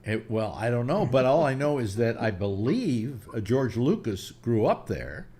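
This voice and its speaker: A man in his eighties hosting a casual panel chat, talking in halting starts and restarts. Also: medium pitch. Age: 80s. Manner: halting, many restarts, lively. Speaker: radio host.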